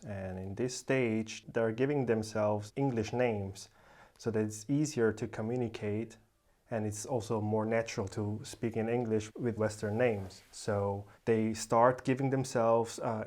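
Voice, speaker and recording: A man's voice: fairly low pitch, a little mellow. A man from South Korea who grew up partly in Austria, explaining things as a podcast guest. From South Korea, moved to Austria at ten. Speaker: podcast guest, corporate lawyer. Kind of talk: explanatory interview talk.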